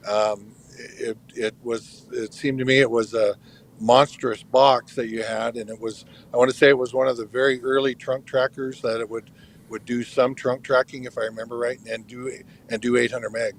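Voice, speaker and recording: A man's voice: medium pitch, flat delivery, moderate pace. A man calling in by phone as a guest, talking hesitantly as he searches his memory. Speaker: guest caller. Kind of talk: casual chat.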